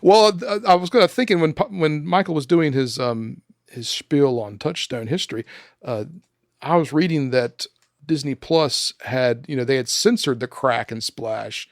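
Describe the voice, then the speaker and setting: Creaky-voiced man hosting, mid pitch. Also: moderate pace, dry recording, studio quality. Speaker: podcast host. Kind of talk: casual conversational hosting.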